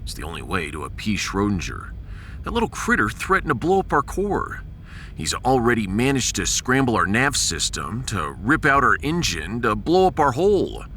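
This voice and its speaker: A man's voice: lowish voice, pushed and bright, slight background noise. A man playing a firm, decisive starship captain with a dry manner in a science fiction audiodrama. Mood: determined.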